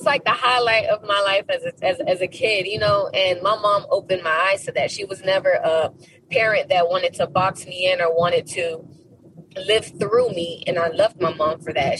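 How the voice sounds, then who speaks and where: An American woman from Miami speaking as a podcast guest in a casual interview, telling stories in an animated, emphatic, slangy way. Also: on the low side, noisy background. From Miami, United States.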